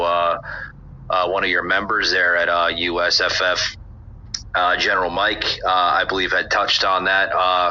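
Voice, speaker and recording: A man hosts a casual political podcast, his low voice flat yet bright-edged. He talks in a halting, stop-start way. The audio is dull and lo-fi. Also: slight background noise. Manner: halting, pauses with many hesitations. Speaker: podcast host.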